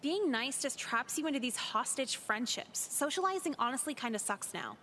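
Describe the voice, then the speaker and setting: A woman playing a cynical, weary seventeen-year-old high school girl in a game, with a dry, sarcastic, dismissive manner. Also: sing-song, moderate pace, bright, forceful tone, slight background noise, heavily acted. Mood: weary, annoyed. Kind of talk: scripted visual novel dialogue.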